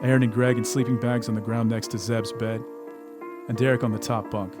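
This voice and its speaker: A man narrates an audio drama in a mid-pitched voice, telling the story in scripted, storybook-like prose. Prominent music plays beneath him. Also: flat delivery, brisk. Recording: music under the voice.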